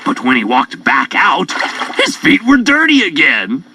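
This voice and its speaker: A man narrating children's stories in a playful, theatrical, drawn-out way. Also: fairly high pitch, wildly swooping pitch, sharp tone, a little hiss or hum. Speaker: storyteller. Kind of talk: animated children's story narration. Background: music.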